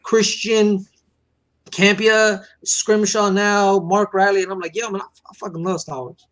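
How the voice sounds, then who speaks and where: Young co-host, man, early 20s, high voice, eager and slangy, stumbling over his words. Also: measured pace. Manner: excitable, slangy, stammers and repeats. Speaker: podcast co-host. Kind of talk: casual podcast conversation.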